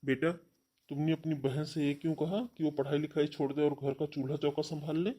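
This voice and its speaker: Man acting a gentle, husky ageing father. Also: a little high, little pitch movement, a little fast, natural voice. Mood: questioning. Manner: soothing, yielding. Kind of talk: Hindi cartoon family melodrama.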